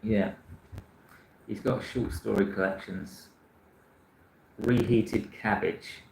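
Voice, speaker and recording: Rambling South London man, low voice. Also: steady tone, takes it slowly, gravelly, a little mellow, echoey room, slight background noise, low-quality recording. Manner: rambling, self-correcting, frank. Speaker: book channel host. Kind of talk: casual chat reading viewer comments.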